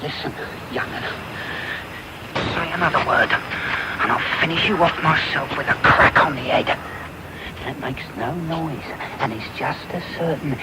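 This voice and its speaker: An actor playing a sly, cocky housebreaker with a jaunty, mocking manner, in a radio drama. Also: male voice, on the high side, a little slow, close to an everyday voice. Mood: cold threat. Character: sly, cocky adult male London housebreaker. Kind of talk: 1990s radio drama acting. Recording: very noisy medium-wave radio recording.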